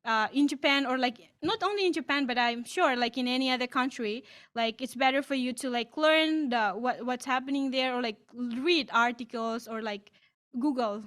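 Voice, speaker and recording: High-voiced young woman, filler-heavy answers. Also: fairly bright tone. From East Timor. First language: Tetun. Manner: frequent "like" fillers, halting restarts. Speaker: student panelist. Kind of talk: online Q&A answer. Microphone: video call.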